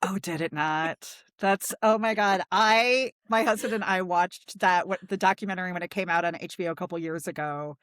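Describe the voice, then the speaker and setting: A woman's voice: fairly low pitch, moderate pace, few pauses, a bit bright, dry recording. A woman in her forties from small-town Wisconsin, a podcast guest chatting casually and saying "like" all the time. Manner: says "like" constantly, chatty. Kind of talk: casual chat.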